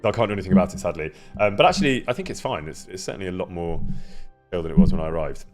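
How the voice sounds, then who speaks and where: A man casually chatting with his live-stream viewers, greeting them by name and laughing often, with a loud voice that carries. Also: sits low, animated, very fast, faint music behind. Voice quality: loud, carrying voice. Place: indoor room near an event space.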